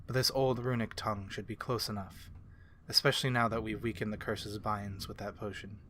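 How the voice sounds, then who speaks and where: A calm, courteous male vampire, played by a man for a fantasy ASMR roleplay. His voice is low and soft-spoken, with formal, measured phrasing that gently reassures. Faint ambient noise sits behind him. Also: close to an everyday voice. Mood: calm, instructive.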